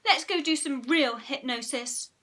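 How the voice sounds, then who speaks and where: A woman voicing an excitable, whiny young girl in a children's story, exclaiming in a small high voice. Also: measured pace. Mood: insistent.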